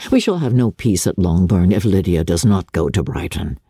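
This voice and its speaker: A woman voicing a calm, wry, affectionate older gentleman father, measured and dryly reassuring, in a classic novel reading. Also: a bass voice, husky, no room sound, studio quality, voice pushed down, full character voice. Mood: wry reassurance.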